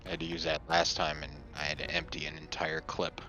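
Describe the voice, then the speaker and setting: A man's voice: a bass voice, monotone, fairly quick, sharp tone, lo-fi sound, faint music behind. A man playing in a tabletop roleplaying game, making jokey asides. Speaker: player. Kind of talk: casual game talk.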